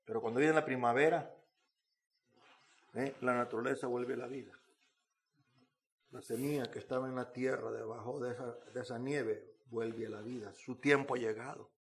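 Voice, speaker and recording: A man's voice: mid-range voice, fairly even intonation, moderate pace, long pauses, slight background noise. A man preaching a sermon in an emphatic, repetitive manner, with rhetorical questions and phrases.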